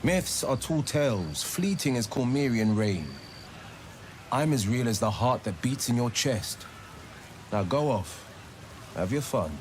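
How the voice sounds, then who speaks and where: A man voicing a gallant folk-hero swordsman in a fantasy game, speaking grandly and with measured poise. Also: medium pitch, expressive, darkish tone, slight background noise, natural voice. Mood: grand, genial. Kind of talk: video game dramatic acting.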